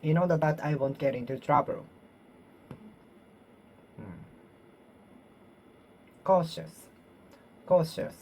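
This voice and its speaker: A woman with a Japanese accent talks to herself in English, trying out each phrase several times and fixing her own wording. Her voice sits low for a woman. A faint hiss runs underneath. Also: darkish tone. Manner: repeats and reworks phrases, pausing and self-correcting. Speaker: English learner. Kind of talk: solo speaking practice, talking to herself.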